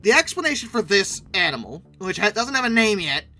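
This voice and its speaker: A man's voice: high voice, big pitch swings, a little fast, pushed and bright, soft background music. A man giving casual video commentary in a sarcastic, opinionated, emphatic way. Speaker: YouTube commentator and host.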